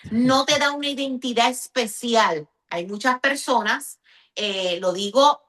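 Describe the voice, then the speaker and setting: Female pastor, Puerto Rican accent, slightly high pitch. Interview-style religious teaching, emphatic and explanatory, often checking understanding. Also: unhurried, fairly bright tone. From Puerto Rico.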